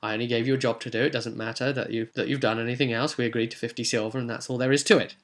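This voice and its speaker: A man's voice: medium pitch, steady tone, racing, a bit bright, close to an everyday voice. A man voicing a tight-fisted noble lord who dismisses any talk of more pay. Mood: dismissive. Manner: dismissive, businesslike.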